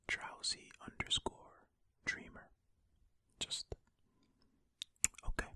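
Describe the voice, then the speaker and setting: Bright, breathy ASMR whisperer. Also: very high-pitched, no echo. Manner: casual asides between read-out passages. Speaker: host reading a story aloud. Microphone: close ear-to-ear ASMR microphone.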